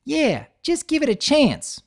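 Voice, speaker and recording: A woman's voice: high-pitched, huge pitch swings, measured pace, gravelly, pitched above the performer's own voice, heavily acted. A woman voicing a cheerful country farm girl pony with a folksy drawl.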